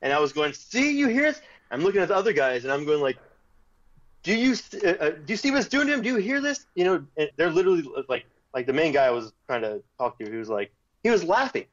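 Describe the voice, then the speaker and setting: A man guest telling a personal story in casual talk, animated when quoting others, with restarts. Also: fairly high pitch, fast talker, muffled recording. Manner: animated retelling with quoted voices, restarts.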